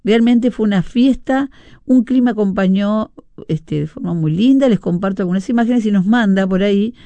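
A woman's voice: mid-range voice, normal speed, warm tone, no echo. A middle-aged woman with a Uruguayan Spanish accent hosting a casual radio show, chatting and reading out listener messages with frequent fillers and asides. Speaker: radio host.

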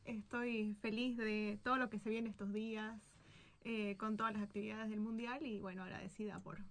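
A woman's voice: medium pitch, little pitch movement, moderate pace. A woman with a Bolivian accent speaking as a guest poet in a casual, conversational interview. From Santa Cruz, Bolivia.

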